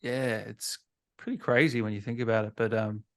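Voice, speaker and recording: A man's voice: lowish voice, fairly even intonation, moderate pace, a bit bright. A man hosting a casual gaming interview, speaking in an informal, matey way. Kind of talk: casual chat.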